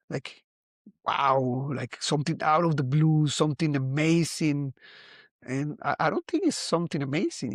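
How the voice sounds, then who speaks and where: Man in his forties, from Costa Rica, fairly high voice, dry close sound, musing and halting. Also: no echo. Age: 40s. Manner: musing, halting, many restarts. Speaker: vlogger. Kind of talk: reflective casual monologue.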